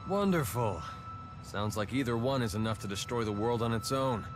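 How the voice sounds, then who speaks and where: A man's voice: medium pitch, animated, normal speed, music in the background, natural voice. A man voicing a wry, easygoing character in an anime game, speaking colloquially.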